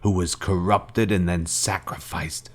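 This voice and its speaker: An actor playing a vengeful fallen Jedi master in a video game, cold and commanding, with grave, deliberate, formal speech. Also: male voice, low voice, fairly even intonation. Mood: grave, accusing. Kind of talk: scripted video game villain dialogue.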